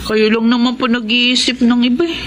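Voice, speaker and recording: A woman's voice: on the high side, level tone, brisk, close to an everyday voice. A woman playing a bashful, defensive young Filipino girlfriend in a radio drama, hesitant and protesting. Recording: slightly noisy radio drama broadcast.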